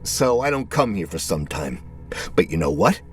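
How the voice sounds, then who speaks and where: A man's voice: deep voice, very animated, moderate pace, faint music behind. A man voicing a plump, shabby middle-aged male neighbor in a story, eager, rambling and exclamatory. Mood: eager, pushy.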